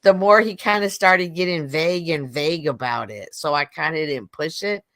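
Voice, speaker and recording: A woman in her fifties talks on an online panel. Her voice sits low, and the sound is dry and close. She tells family stories in a chatty way, breaking into laughter often. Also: moderate pace, a bit bright, no room sound. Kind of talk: casual group chat. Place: online voice chat.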